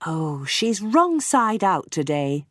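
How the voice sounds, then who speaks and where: A man doing a fretful high-voiced farm mum. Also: big pitch swings, fairly bright tone, dry recording, studio quality, full character voice. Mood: worried. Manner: fretful, high falsetto, slow. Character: worried farm mother.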